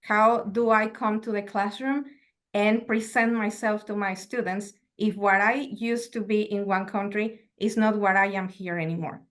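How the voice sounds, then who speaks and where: Woman lecturer, calm reflective talk, mid pitch. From Mexico. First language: Spanish. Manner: measured, reflective, asks rhetorical questions. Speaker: university lecturer. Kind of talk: academic lecture.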